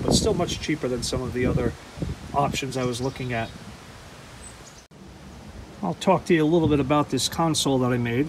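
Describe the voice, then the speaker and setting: A man casually walking the viewer through his gear outdoors, relaxed and chatty with frequent ums and restarts, recorded on a handheld camera. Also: medium pitch, noisy background. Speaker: outdoors vlogger. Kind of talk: casual show-and-tell walkthrough. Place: outdoors beside a canoe.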